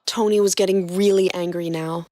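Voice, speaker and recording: A woman narrating a fan fiction story in a steady reading voice. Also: lowish voice, moderate pace, no echo, studio quality. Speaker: podcast co-host narrating fanfiction.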